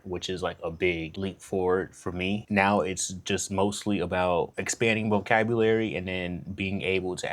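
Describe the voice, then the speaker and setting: Young American man, deep voice, easygoing reflective vlog delivery, lots of "like" and "kinda". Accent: American English. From United States. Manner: relaxed, thoughtful, many fillers like "like" and "kinda". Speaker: vlogger. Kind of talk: reflective casual monologue.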